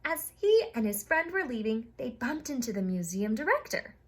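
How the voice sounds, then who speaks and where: A woman reading a picture book aloud to young children, expressive, with chatty exclaiming asides to her listeners. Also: on the high side, moderate pace. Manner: expressive, addresses children, exclaims and reacts to the story. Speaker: children's storytime reader.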